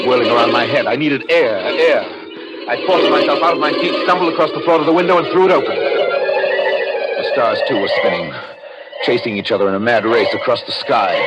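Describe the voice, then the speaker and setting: A man's voice: mid-range voice, close to an everyday voice, poor audio. An actor playing a suspicious, jealous husband who narrates his murder plot in dramatic 1940s radio thriller style. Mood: frantic, reeling. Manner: brooding, dramatic, intense delivery. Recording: vintage radio broadcast with organ-style music.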